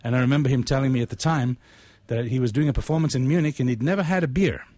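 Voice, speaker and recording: Guy poet hosting a radio show, bit theatrical, normal pitch, real dry sound. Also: fast, dark tone, no room sound. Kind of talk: casual reminiscing and dramatic poetry recital.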